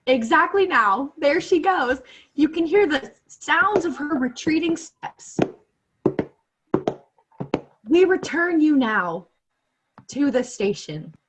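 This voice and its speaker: A woman's voice: high voice, animated, slow pace, long pauses, a bit bright, a little hiss or hum, full character voice, poor audio. A young woman playing an earnest, improvising radio field reporter in a comedic radio play. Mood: dramatic, covering. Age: young adult. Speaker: student actor. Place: small bedroom at home. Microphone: laptop on a video call.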